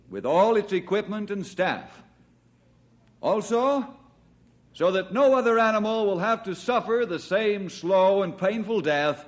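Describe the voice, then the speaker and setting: A man playing a steady, friendly adult forest ranger in an old radio drama, speaking earnestly and courteously as master of ceremonies. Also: high voice. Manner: earnest, courteous, formal speechmaking. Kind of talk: 1950s radio drama acting.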